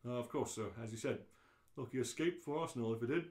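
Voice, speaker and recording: A man's voice: medium pitch, quick pace, darkish tone, lightly acted. A man playing a chatty, deferential pub barman making obliging small talk in an amateur radio play. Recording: roomy, echoing live reading.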